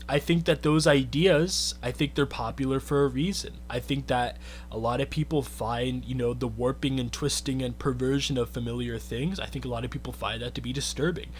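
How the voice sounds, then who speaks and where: A man's voice: mid-range voice, slight background noise. A man giving a casual, earnest commentary rant, thinking aloud with lots of "I think" and "you know". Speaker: YouTube commentator.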